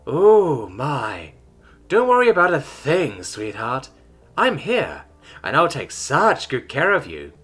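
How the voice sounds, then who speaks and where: A man's voice: mid-range voice, huge pitch swings, takes it slowly, fairly bright tone, slightly rough, faint music behind, pitched above the performer's own voice, full character voice. A man voicing a seductive female android nurse with a rich, purring manner. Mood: sultry.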